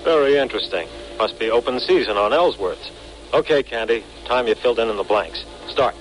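An actor playing a sardonic, commanding police detective in 1940s radio crime drama style, clipped and sarcastic. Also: male voice, medium pitch, animated, brisk, over music, lightly acted. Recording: noisy 1940s radio recording.

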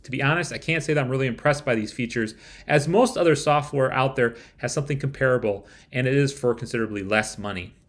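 A man's voice: medium pitch, quick pace. A man in his forties presenting a scripted review to camera, with wry rhetorical asides. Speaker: software reviewer. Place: small room at home, indoors.

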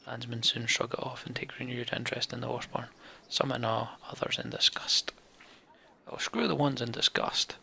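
A man hosting a game playthrough, reading story text aloud and adding casual comments, with a gravelly, breathy voice. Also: medium pitch, flat delivery, moderate pace, bright, forceful tone.

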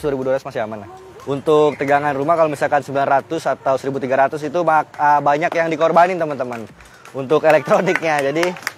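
A young man presenting an on-location vehicle test on a flooded street, chatty and full of fillers. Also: on the high side. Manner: chatty, keeps addressing viewers as friends, many fillers. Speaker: automotive news presenter. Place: outdoors on a flooded residential street.

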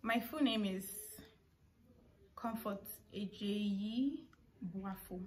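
A woman's voice: mid-range voice, drawn-out words. A young woman with a Nigerian accent chatting casually to camera as a vlogger, with informal asides as she answers questions. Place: living room of a family house, indoors. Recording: clear household background noise. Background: household noises.

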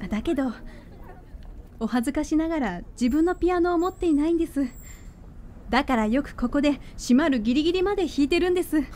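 Woman playing a polite young student girl in a radio drama, high pitched, composed but frank, some noise behind her. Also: animated, fast, dark tone. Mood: polite, gentle. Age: young adult.

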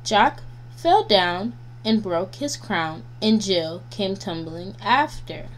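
A woman reading nursery rhymes aloud for children as a guest reader, in a deliberate, sing-song way. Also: medium pitch, expressive. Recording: noisy home recording with hum.

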